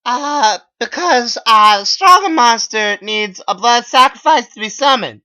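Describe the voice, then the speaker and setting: A man voicing an awkward, whiny, earnest geeky man in his twenties in a halting, drawn-out way, for a dramatic story reading. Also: very high voice, expressive, sharp tone, voice pushed up, exaggerated voice, low-quality recording. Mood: awkward, hesitant.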